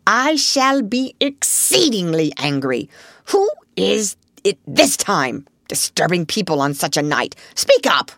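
A woman voicing a gruff old male badger from a storybook, grumbly but fatherly, fussing and exclaiming. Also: fairly low pitch, sing-song, slow, fairly bright tone, no room sound, clean studio sound, heavily acted. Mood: gruff, suspicious. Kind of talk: storybook character voice.

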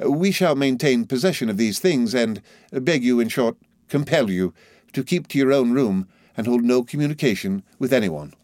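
A man voicing a calm, polite and practical young gentleman lawyer in an audiobook, firm but slightly hesitant in manner. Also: medium pitch, moderate pace, no room sound, studio quality, natural voice. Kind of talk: audiobook character voice.

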